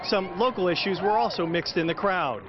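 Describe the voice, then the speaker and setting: Male field news reporter, higher-pitched voice, slightly dull audio with a clear street crowd behind. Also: normal speed, noisy background. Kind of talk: live and packaged news report.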